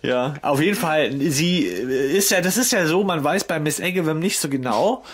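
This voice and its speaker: A man's voice: fairly high pitch, animated, moderate pace, a little hiss or hum. A man co-hosting a podcast in casual banter, talking colloquially with crude humour. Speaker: podcast co-host. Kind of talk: casual banter about an audio drama series.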